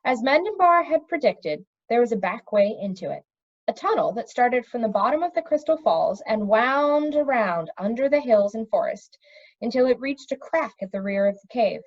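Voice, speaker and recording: A woman reading a children's fantasy novel aloud in an expressive storytelling style. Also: on the high side, normal speed, muffled recording. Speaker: storybook reader. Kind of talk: expressive read-aloud of a children's fantasy novel, pausing to question young listeners.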